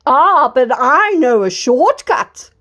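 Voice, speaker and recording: A woman's voice: high voice, sing-song, slow, fairly bright tone, heavily acted. Sly male wolf from a storybook, coaxing and sweet-talking, lightly voiced by a woman reader.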